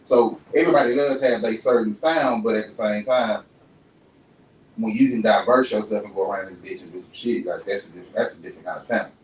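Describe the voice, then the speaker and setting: Fast-talking podcast host from Dallas. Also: male voice, on the low side. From Dallas, Texas. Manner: quick, animated, slangy, often asks if you know what he's saying. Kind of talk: casual interview chat. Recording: echoey room, mic far off, faint hum.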